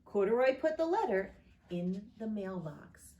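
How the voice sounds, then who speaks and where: Expressive woman storyteller, animated and unhurried. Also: mid-range voice, muffled recording. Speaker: pastor reading a children's story. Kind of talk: picture book read aloud. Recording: echoey room.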